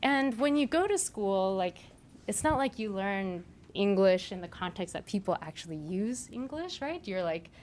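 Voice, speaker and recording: A woman's voice: mid-range voice, animated, moderate pace, a little mellow. Korean-born woman in her 30s giving a meetup talk, chatty, lots of "like" and "right?", faint hiss in the room. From South Korea. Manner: chatty, frequent "like" and "right?", laughs between points. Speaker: meetup speaker. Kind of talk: live conference talk. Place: event room before a small audience.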